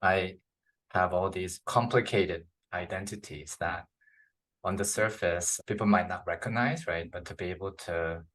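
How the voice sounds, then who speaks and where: A man's voice: low-pitched, a little slow, fairly bright tone, low-quality recording. A man from the Philippines interviewing in a casual, reflective way, affirming the guest and often checking in with a short tag question.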